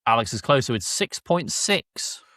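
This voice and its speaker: A man's voice: medium pitch, sing-song, moderate pace, bright, forceful tone, no room sound. A man hosting a casual review chat among friends on a podcast. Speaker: podcast host.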